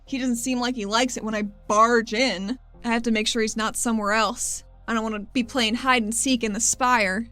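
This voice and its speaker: A woman's voice: fairly high pitch, steady tone, moderate pace, bright, forceful tone, soft background music. Woman playing Athena, a brash, impatient agent. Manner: blunt, casual, wry. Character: Athena, tall female badge-carrying agent, brash and impatient.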